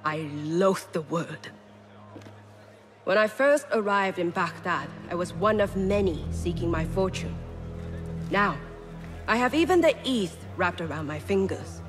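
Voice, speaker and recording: An actor playing a proud, refined, ruthless self-made treasurer, speaking in a poised and deliberate way. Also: medium pitch, animated, music in the background, natural voice. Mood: steely, proud. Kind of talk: dramatic game cutscene dialogue. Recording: loud score behind.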